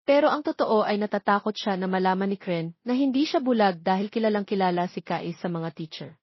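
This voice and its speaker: A woman's voice: mid-range voice, a little fast, slightly rough. A woman narrating a scripted romance story, voicing the dialogue as the storyteller. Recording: muffled lo-fi, roomy echo.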